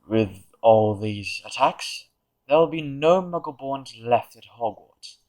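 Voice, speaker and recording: A man performs a smug aristocrat in an audio play. He drawls with haughty, mock-polite contempt in a mid-pitched male voice. Every phrase is coolly sneering. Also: expressive, slow pace, a little mellow. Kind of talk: fan audio drama acting.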